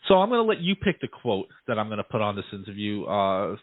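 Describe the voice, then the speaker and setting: A man's voice: fairly low pitch, sing-song, fast talker, no echo. A man hosting a radio show, asking questions in a chatty way with lots of fillers. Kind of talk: casual interview. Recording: tinny dry phone line.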